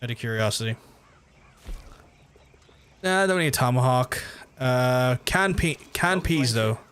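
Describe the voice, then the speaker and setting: A man with a softened Belfast accent, chatting casually and rambling as he streams a game, swearing freely. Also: mid-range voice, lively intonation, unhurried, clean tone. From Belfast, Northern Ireland. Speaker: live streamer. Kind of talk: casual gameplay commentary and chat with viewers.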